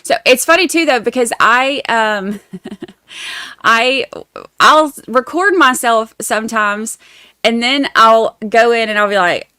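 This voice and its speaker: A woman's voice: fairly high pitch, slow, bright, forceful tone, smooth, no room sound, studio quality. A woman with a Southern Georgia accent hosting a casual livestream, rambling and self-deprecating as she chats to her viewers. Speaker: livestream host covering trials.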